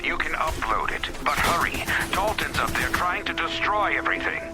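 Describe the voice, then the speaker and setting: A man's voice: fairly high pitch, lively intonation, normal speed, loud music behind the voice, natural voice. A man playing a desperate wealthy executive in a video game, pleading urgently. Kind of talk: video game cutscene acting.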